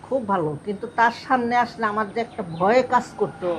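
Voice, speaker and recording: A woman's voice: on the high side, fairly quick, a little hiss or hum. An older woman with a Bangladeshi accent, speaking conversationally and insistently as she disputes an account. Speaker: interviewee, wife.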